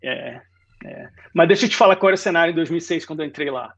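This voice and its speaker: Um homem na casa dos quarenta com sotaque baiano misturado com carioca, contando sua trajetória numa conversa descontraída, com muitos "né?". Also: male voice, a little high, fast, vocal fry, dull audio. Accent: Bahian Portuguese mixed with Rio. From Salvador, Bahia, Brazil. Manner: relaxed storytelling, frequent "né?". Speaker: guest designer. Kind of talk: casual interview chat.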